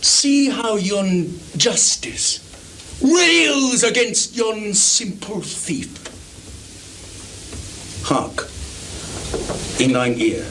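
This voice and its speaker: An actor playing a mad, bitter old king in theatrical Shakespearean style, lurching between declamatory shouts and hushed mutters. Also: sits high, big pitch swings, extremely slow, long pauses, heavily acted. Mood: sly, taunting. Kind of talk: theatrical Shakespearean stage recitation. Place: theatre stage before a live performance audience. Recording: 1980s live theatre recording, background noise.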